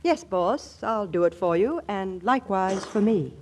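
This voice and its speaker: An actress playing a brisk, wisecracking secretary with a snappy, sardonic way of talking in a 1940s radio comedy. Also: female voice, mid-range voice, expressive, natural voice. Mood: exasperated, wry.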